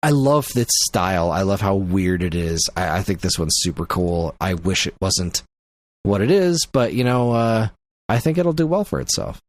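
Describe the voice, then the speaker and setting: A man co-hosting a casual podcast chat, opinionated and quick to get heated, swearing freely. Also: low-pitched, no room sound. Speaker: podcast co-host.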